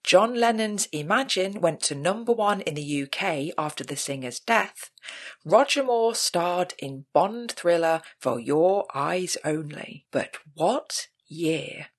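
Slow, lively woman hosting a quiz. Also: lowish voice. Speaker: quiz host. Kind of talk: scripted pub quiz narration.

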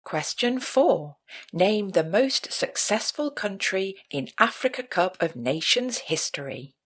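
Female pub quiz host, scripted read, unhurried pace with animated rises and falls. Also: mid-range voice, slightly rough.

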